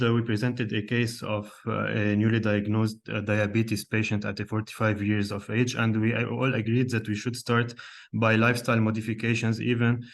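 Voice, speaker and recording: A young man from Lebanon, a cardiologist, giving a live online lecture over a video call, hesitant with many fillers and restarts and questions to his audience. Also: fairly low pitch. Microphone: computer mic on a video call.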